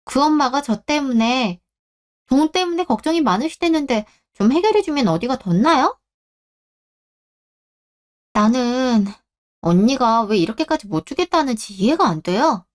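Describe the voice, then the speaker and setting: A woman's voice: high voice, big pitch swings, normal speed, long pauses. A woman voicing a selfish young bride-to-be in a radio drama, petulant and entitled, with a whining, pleading manner. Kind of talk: Korean radio-drama story acting.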